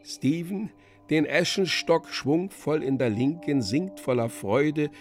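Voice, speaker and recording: A man narrating a literary radio drama, reading scripted stage directions in a measured manner. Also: a little high, over loud music.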